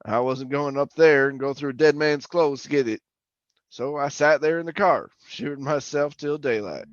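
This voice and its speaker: A man's voice: a little high, normal speed, no echo, muffled recording, lightly acted. A man playing a jittery, haunted oil rig worker telling his suspense story in colloquial, stammering old-time radio style. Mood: haunted recounting. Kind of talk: old-time radio drama narration, first-person suspense.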